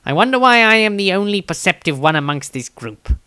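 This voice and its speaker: A man's voice: high voice, big pitch swings, a little fast, sharp tone, clear, resonant tone, no room sound, clean studio sound, pitched above the performer's own voice, full character voice. A man voicing a conceited male scholar of magic, pompously reading from his storybook diary. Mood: suspicious, self-important.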